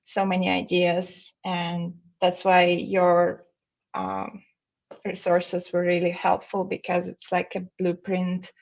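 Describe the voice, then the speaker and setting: A woman from Russia with a Russian accent, answering casually and somewhat haltingly as an interview guest. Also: fairly low pitch, completely flat delivery, vocal fry, muffled recording.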